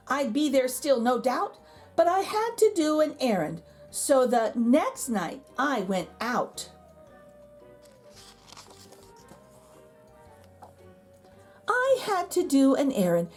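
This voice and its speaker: A woman's voice: high voice, a little mellow, full character voice. A woman voicing a jittery, easily frightened picture-book narrator, telling a rhyming tale with suspenseful, dramatic emphasis. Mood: nervous resolve.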